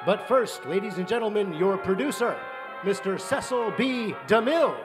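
A man acting as an excited 1950s radio announcer, high and swooping in pitch. He proclaims grandly over music, in an echoey theater. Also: normal speed. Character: excited old-time radio sponsor announcer.

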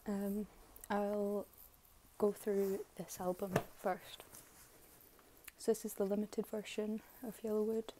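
A young woman with a slightly hoarse voice, giving low-key, halting commentary on an unboxing. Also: little pitch movement, mellow, a little hiss or hum. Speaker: K-pop album unboxing vlogger. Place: bedroom, filming items on a bed.

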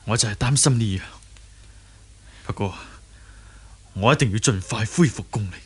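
An earnest young wuxia hero, wounded but steadfast. His Hong Kong Cantonese voice is very husky and breathy, in the manner of radio drama. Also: on the low side, moderate pace. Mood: calm, concerned. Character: earnest injured young martial arts hero. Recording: early 1980s radio drama recording.

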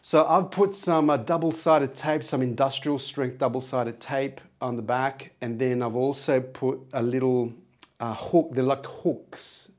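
A man's voice: medium pitch, steady tone, a little slow, a little mellow. A middle-aged man with a moderately nasal voice, chatting casually and in a rambling way as he explains things in a vlog. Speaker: vlogger. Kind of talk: casual vlog, gear walkthrough. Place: small acoustically treated home studio, indoors. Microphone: wireless clip-on mic on chest.

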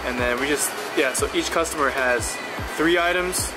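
A young man who works in a commercial kitchen, calmly explaining his task step by step. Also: mid-range voice, normal speed, poor audio. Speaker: kitchen worker. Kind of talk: casual explanation. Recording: loud background music.

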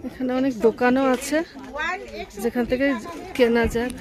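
A woman's voice: fairly high pitch, little pitch movement, moderate pace, a crowd in the background. A middle-aged woman chatting casually as she films a travel vlog, pointing out the sights with delight, on a handheld phone outdoors and from a car. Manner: admiring, pointing out sights to the viewer. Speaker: travel vlogger. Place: outdoors on hill roads and in a moving car. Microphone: phone camera held by the speaker. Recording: loud outdoor and car noise.

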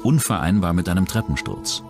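A man reading scripted true-crime documentary narration in a measured, dramatic way. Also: deep voice, lively intonation, creaky, music in the background.

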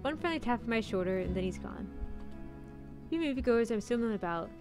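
A woman's voice: on the high side, expressive, brisk, music in the background. A woman streamer reading a visual novel's narration aloud into a close mic.

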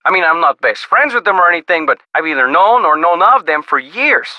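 Folksy skeptical sheriff for a video game, really lively up n down, super dry sound. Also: male voice, on the high side, dry recording, muffled recording. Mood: skeptical, casual. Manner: folksy, casual, drawn-out reactions. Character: easygoing, skeptical small-town ranch-country sheriff. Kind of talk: video game character dialogue.

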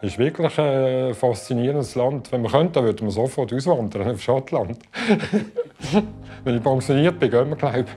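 A Swiss German man in his fifties talks in a relaxed living-room interview. His voice sits in a mellow, warm middle register. He is eager and chatty, dotting his talk with small fillers. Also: medium pitch, normal speed, faint music behind. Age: 50s. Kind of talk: casual interview chat.

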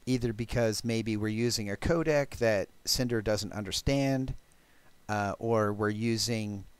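A man presenting a technical programming demo, explaining step by step in a halting, thinking-aloud way. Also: fairly low pitch, clear, resonant tone, dry recording. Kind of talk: technical talk with live code demo.

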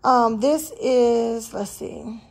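A woman's voice: a little high, drawn-out words, darkish tone. A woman vlogger talking in a folksy, chatty way straight to her viewers, recorded on a handheld phone in a home kitchen. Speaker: vlogger showing a grocery haul. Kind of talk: casual chat to viewers. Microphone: handheld phone behind the camera.

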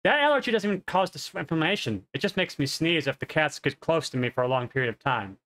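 A man's voice: fairly high pitch, lively intonation, fast talker, fairly bright tone. Nasal, congested man on a headset. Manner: rambling, self-correcting. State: congested, coughing. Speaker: streamer. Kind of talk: casual health update. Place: home streaming room.

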